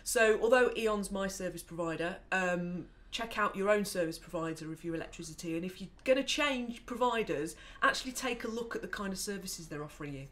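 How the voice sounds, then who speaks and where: A woman's voice: mid-range voice, expressive, a little fast, few pauses, fairly bright tone. Woman casually explaining apps. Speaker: co-host of an app review show. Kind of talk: casual explanatory tech review.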